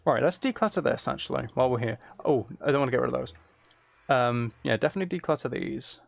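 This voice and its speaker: A man's voice: mid-range voice, expressive, fast talker, vocal fry, slight background noise. A man giving casual gameplay commentary, thinking aloud in short, muttered phrases as he plays. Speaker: streamer playing a video game.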